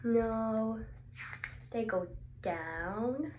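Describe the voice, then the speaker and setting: A woman's voice: a little high, lo-fi sound. A woman in her twenties reading a children's picture book aloud expressively, asking questions and answering them. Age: 20s. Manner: expressive, exclaiming, asks questions and pauses before answering. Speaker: speech therapist. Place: small indoor clinic room. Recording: roomy echo, clear background noise.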